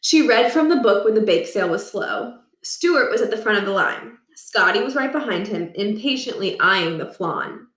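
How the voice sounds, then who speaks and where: A woman reading a children's storybook aloud with expressive, deliberate delivery in a roomy space. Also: mid-range voice, distant mic. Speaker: read-aloud reader. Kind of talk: scripted storybook narration. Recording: roomy, echoing room.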